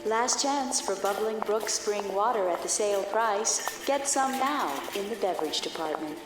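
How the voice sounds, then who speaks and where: An actress playing a perky supermarket announcer, reading a salesy scripted store announcement in a radio drama. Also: female voice, mid-range voice, normal speed, over music, natural voice. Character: perky female supermarket announcer pitching a special. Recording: echoey store PA, clear background noise.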